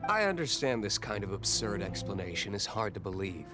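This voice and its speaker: A guarded young nobleman in a video game. His voice is fairly low, with a cool, reserved and curt delivery. Music plays clearly behind him. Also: sing-song, a little fast. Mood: dry, guarded. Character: aloof, guarded young nobleman with secret dealings.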